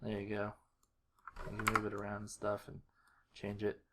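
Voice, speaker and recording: A man giving a casual screen-recorded walkthrough, thinking aloud in a low-key, halting mutter. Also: completely flat delivery. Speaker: video creator. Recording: faint noise with keyboard clicks. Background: computer keyboard typing and mouse clicks.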